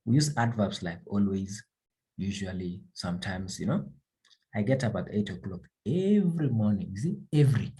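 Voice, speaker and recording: Husky low-voiced man teaching grammar. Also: animated, moderate pace, dark tone. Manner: repeats key phrases, drills examples to a class. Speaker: English teacher. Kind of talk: classroom grammar lesson.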